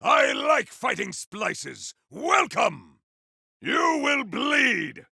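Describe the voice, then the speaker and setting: Grandiose video game warrior, raspy and forceful, big swooping theatrical battle cries, talks all archaic. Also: male voice, very high voice, big pitch swings, very slow, no room sound, studio quality, heavily acted. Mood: battle fury. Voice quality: gravelly, rough. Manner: bombastic, archaic, theatrical declaiming. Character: grandiose battle-hungry warrior wielding fire, frost and storm. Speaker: voice actor for a game character. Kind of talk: video game combat barks.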